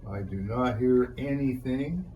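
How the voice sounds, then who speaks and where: A man's voice: medium pitch, unhurried, dark tone, lo-fi sound, slight background noise. A man hosting a hands-on repair demonstration, talking casually to viewers in a folksy way as he thinks aloud. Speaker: host of a DIY electronics repair video.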